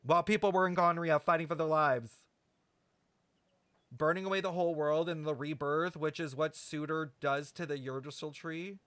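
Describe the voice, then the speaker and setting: A man streaming casual game commentary, talking to chat in an animated, slangy, sweary way. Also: a little high, moderate pace, clean tone, dry recording. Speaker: streamer reacting to a video game. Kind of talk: casual livestream commentary and lore speculation.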